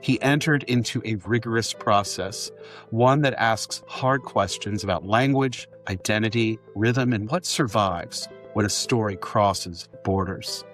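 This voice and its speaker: A man speaking as a podcast host and publisher, presenting and interviewing. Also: on the low side. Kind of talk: scripted podcast introduction, then casual interview.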